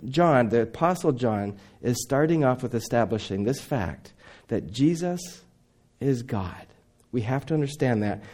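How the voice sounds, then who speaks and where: A middle-aged man who grew up in Indonesia, preaching a sermon with dramatic swings between loud emphasis and near whispers. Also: mid-range voice.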